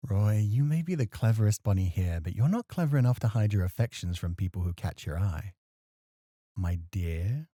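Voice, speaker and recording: A man acting as a refined, scholarly club host in a flirty ASMR roleplay, speaking formally with playful, gentle chiding. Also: on the low side, expressive, normal speed, dry recording, clean studio sound. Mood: teasing.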